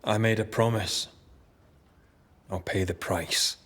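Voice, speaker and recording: An actor playing a wry, reluctant ghost hunter in a video game, with a husky, gravelly voice and dry, sardonic manner. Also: male voice, lowish voice, fairly even intonation, slow pace, fairly bright tone. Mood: resolute. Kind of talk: dramatic video game acting.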